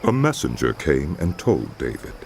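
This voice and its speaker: A man with an extremely low, creaky voice narrates a dramatized scripture story. His delivery is scripted and widely varied in pitch, over noticeable background noise. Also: huge pitch swings, moderate pace, darkish tone.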